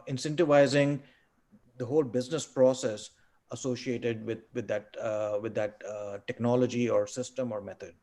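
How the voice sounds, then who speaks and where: A middle-aged man who grew up in India and is a native Tamil speaker, answering webinar questions on a video call, halting with many fillers and repeated words. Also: mid-range voice, a little mellow. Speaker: guest speaker.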